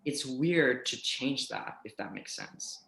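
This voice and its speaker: A native Danish man speaks English as a guest on a podcast, answering openly with frequent pauses and fillers. His voice carries a noticeable roomy echo. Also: medium pitch, sing-song, vocal fry. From Denmark. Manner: hesitant, soft-spoken, frank. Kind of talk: casual interview answers.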